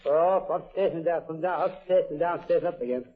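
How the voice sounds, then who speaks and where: A man's voice: fairly high pitch, brisk. A man being interviewed informally, giving brief, agreeable, plain-spoken answers. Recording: tinny, narrow old radio recording.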